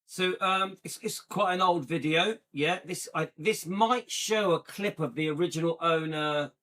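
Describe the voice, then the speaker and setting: A man's voice: fairly high pitch, measured pace, fairly bright tone, faint music behind. A man hosting a vlog, chatting casually and rambling to his viewers while browsing videos. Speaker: vlog host.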